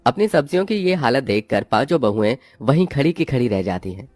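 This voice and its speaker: A man's voice: mid-range voice, big pitch swings, a little fast, a little mellow, no room sound. A man reading scripted narration for a cartoon moral story. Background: background music.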